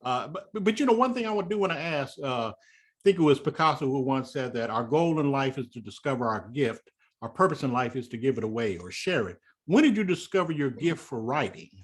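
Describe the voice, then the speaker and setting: A male interview host with a voice of ordinary, middle-range pitch. He grew up in Louisiana and keeps a steady stream of encouraging "right" and "wow" going under his guest's answers. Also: a little fast. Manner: affirming, frequent "wow" and "right" backchannels. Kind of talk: interview conversation.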